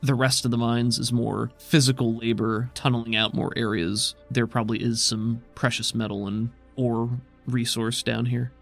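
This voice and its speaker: A man narrating a tabletop game as game master in a measured, descriptive way. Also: medium pitch, flat delivery, gravelly, fairly bright tone, soft background music.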